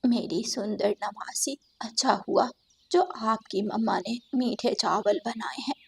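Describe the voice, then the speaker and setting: Woman putting on a creaky, husky old granny bird. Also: fairly high pitch, a little mellow, exaggerated voice. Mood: affectionate. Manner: slow, doting. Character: affectionate old grandmother bird.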